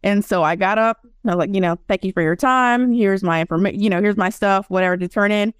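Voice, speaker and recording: A woman's voice: mid-range voice, quick pace, smooth, no room sound, studio quality. A young woman, a guest in a casual interview, telling stories and acting out what people said. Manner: animated storyteller, acts out quotes.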